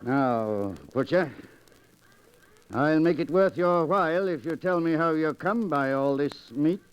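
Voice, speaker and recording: Male actor as greedy nervous sheriff, fairly high voice, blustering, archaic radio-play diction, dull lo-fi 1940s sound. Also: measured pace, clear, resonant tone, close to an everyday voice. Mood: greedy, scheming. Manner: blustering, archaic formal speech. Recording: muffled vintage 1940s radio recording.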